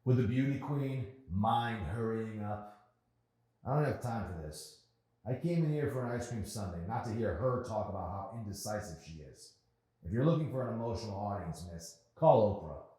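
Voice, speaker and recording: A man's voice: on the low side, animated, normal speed, dark tone, voice pushed up, natural voice. A man voicing a rude, impatient, sarcastic male customer in an audiobook, barking his words. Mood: irritated, sarcastic. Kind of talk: acted audiobook dialogue. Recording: very echoey, reverberant room.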